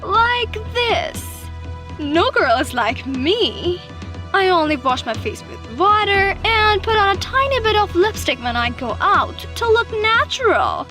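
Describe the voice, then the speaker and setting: A woman voicing a boastful, dismissive, self-absorbed teenage girl in an animated story, turning whiny when playing helpless. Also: very high-pitched, measured pace, faint music behind, voice pushed up, full character voice. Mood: smug, boastful. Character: bratty, self-absorbed teenage pick-me girl, feigning sweetness.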